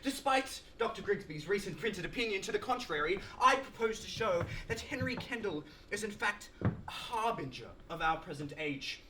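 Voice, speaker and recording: A man's voice: high voice, animated, normal speed. A teenage male student actor with an Australian accent playing a pompous, aggrieved academic lecturer in a theatrical stage monologue, with florid, oratorical delivery. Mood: pompous, formal. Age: teens. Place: indoor school drama showcase, filmed performance. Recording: roomy echoing stage, faint noise, clicks.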